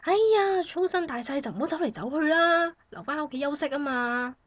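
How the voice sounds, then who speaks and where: A woman's voice: high voice, animated, fairly quick, no room sound. A woman with a Hong Kong Cantonese accent acting a weary new mother in a satirical radio drama, sighing and complaining. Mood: affectionate. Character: weary, grumbling new mother in a maternity ward.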